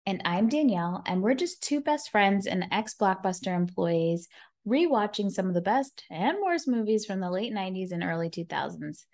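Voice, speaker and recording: A woman's voice: mid-range voice, expressive, moderate pace, darkish tone. A woman in her thirties co-hosting a casual podcast, teasing and upbeat. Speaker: podcast co-host. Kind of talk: casual chat.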